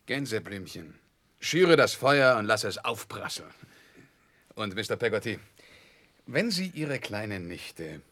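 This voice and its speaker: A charming young gentleman in a radio drama. His voice sits fairly low, and he speaks with easy command and polished, confident phrasing. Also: sing-song, takes it slowly, long pauses, exaggerated voice. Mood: gallant, cheerful. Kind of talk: 1960s German radio play acting.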